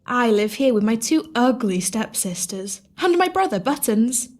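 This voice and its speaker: A woman's voice: fairly high pitch, very animated, unhurried, a little mellow. A woman playing a sweet, wistful, eager downtrodden young girl in an audio pantomime, earnest and wide-eyed. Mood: cheerful, wistful. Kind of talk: pantomime audio drama acting.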